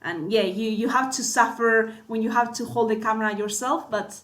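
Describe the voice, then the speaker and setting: A woman's voice: a little high, steady tone, moderate pace, fairly bright tone. A woman with a Spanish accent, a cinematographer guest chatting casually, full of fillers and restarts. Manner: hesitant, many fillers and restarts. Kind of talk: casual panel chat.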